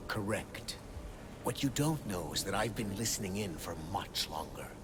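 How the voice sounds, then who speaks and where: A man's voice: sits low, sing-song, moderate pace, music in the background. A man playing a cold, relentless middle-aged male villain in a video game, speaking with theatrical, condescending menace. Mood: cold, accusing.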